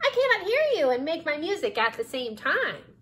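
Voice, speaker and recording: A shrill little storybook cricket, acted out by a woman, very high and loud. It is eager to please and oblivious, exclaiming with big pitch swoops and drawn-out words. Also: very animated. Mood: loud, puzzled. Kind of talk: children's storybook read-aloud.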